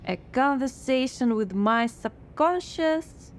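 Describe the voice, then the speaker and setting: A woman's voice: high voice, expressive, takes it slowly, slight background noise. A woman playing a skeptical, frazzled scriptwriter in a radio drama, dry and sardonic.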